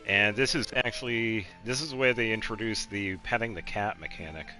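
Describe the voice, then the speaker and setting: A man giving casual video game commentary as he guides another player, explaining things in a halting, meandering way. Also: on the low side, bright, forceful tone, soft background music, rough recording.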